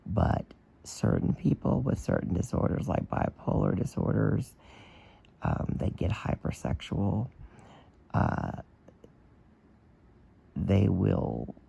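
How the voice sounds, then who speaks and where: A man with a hoarse, damaged voice giving blunt, opinionated commentary in a casual video. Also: on the low side, warm tone, rough recording. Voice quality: damaged, strained voice. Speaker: vlogger.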